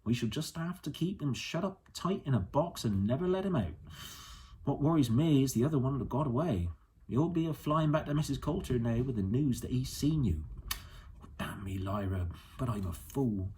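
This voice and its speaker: A man voicing a wise, wary old boatman in an audiobook, gruff but kindly, speaking in rustic dialect. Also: mid-range voice, animated, moderate pace, a little mellow, slight background noise, natural voice. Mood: rueful, worried. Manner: rustic dialect with nonstandard grammar.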